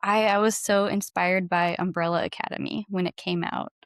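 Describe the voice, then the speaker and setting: A woman with a gravelly, fairly low voice talks as a guest in a casual interview. She is effusive and informal, often dropping "like" into her sentences. The sound is dry and close. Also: steady tone, normal speed, no room sound. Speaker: interview guest, singer-songwriter. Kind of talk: casual chat.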